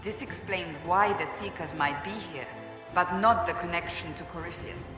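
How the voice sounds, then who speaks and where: A woman's voice: on the low side, normal speed, over music, close to an everyday voice. A woman playing a stern, righteous warrior in a video game, blunt, serious and formal in her delivery. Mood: grave, suspicious. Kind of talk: video game character dialogue.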